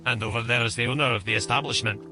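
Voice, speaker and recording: A man's voice: mid-range voice, steady tone, very fast, natural voice. A man playing a friendly, easygoing bard who guides a newcomer in an audio game. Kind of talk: audio game character acting. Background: music.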